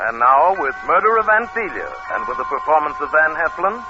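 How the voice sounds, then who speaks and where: A man with a fairly high voice gives a formal forties radio announcement. Music plays behind him, and the old recording is dull. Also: sing-song, brisk, pushed and bright.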